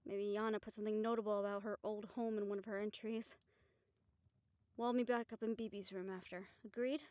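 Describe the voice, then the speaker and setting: A woman playing a level-headed young hunter and caring leader in an audio roleplay, practical and calming in manner. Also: mid-range voice, brisk, no echo, lightly acted. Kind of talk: dramatic audio roleplay acting.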